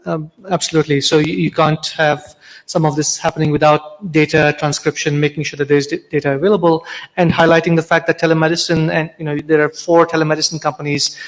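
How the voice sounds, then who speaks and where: A man's voice: a little high, level tone, quick pace. A man moderating a panel discussion, hesitant with many fillers. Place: conference hall. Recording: long hall reverb.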